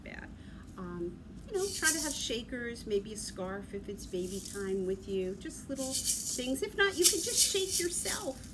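A middle-aged woman leads a children's rhyme session in a high, very animated voice. She speaks slowly and encouragingly, with simple instructions for little ones. She is recorded by a camera in a room with clear background noise. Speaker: children's library story-time leader. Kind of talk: children's sing-along and rhyme session, talking to young children. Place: indoor library room with large windows. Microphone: camera a few feet away. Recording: clearly noisy room ambience.

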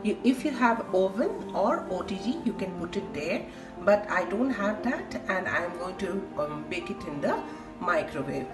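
An Indian woman guides viewers through a home recipe with a very animated, swooping pitch. Her phrasing is halting and repetitive. The recording is echoey and roomy, with clear music behind her. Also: far from the mic. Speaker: home cooking vlogger. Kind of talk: step-by-step recipe narration.

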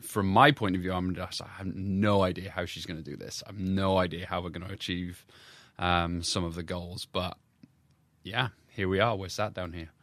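A man in his thirties with a Welsh accent speaks in a very low, deep voice, relaxed and chatty, with plenty of fillers. The sound is dry and close-miked. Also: normal speed, dry recording. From South Wales. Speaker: physical therapist. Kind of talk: casual interview talk. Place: submarine galley.

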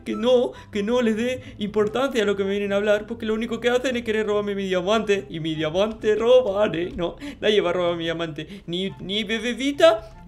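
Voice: medium pitch, natural voice. A performer playing a naive, stubborn, suspicious little kid in an improvised Minecraft roleplay, whiny and stammering, repeating words. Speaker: gaming video host. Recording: music under the voice. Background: music.

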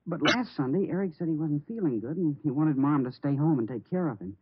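An actor playing a nervous, polite teenage stepson in an American 1950s radio drama, earnest and hesitant. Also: male voice, a little high, animated, fairly quick, background noise, natural voice. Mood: earnest, uneasy. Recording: muffled, narrow-band vintage radio recording.

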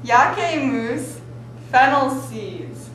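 A woman's voice: high voice, animated, drawn-out words, a bit bright, slight background noise. A teenage girl hosting a playful mock cooking show, giggly and hammy, stumbling over words. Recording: echoey room.

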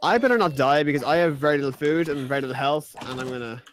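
A man's voice: on the high side, fast, slight background noise. A man chatting casually while playing a multiplayer game, often stumbling and repeating words. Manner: rambling, stumbles over words. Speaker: gamer.

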